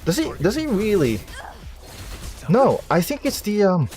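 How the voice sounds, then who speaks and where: A man's voice: high-pitched, huge pitch swings, unhurried, darkish tone. A man playing a video game and commentating casually, rambling and thinking aloud in a self-deprecating way. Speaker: streamer, game player.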